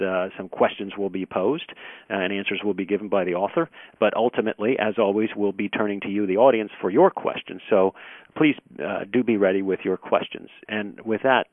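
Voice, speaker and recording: A man's voice: lowish voice, no room sound. A man formally introducing guests and housekeeping as the host of a conference call. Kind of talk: formal introduction, partly read. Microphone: telephone. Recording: dry, narrow telephone line.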